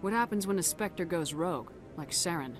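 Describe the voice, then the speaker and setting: A woman's voice: on the low side, animated, moderate pace, faint music behind, close to an everyday voice. A woman voicing a confident, principled military commander in a video game, direct and probing. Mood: direct, composed.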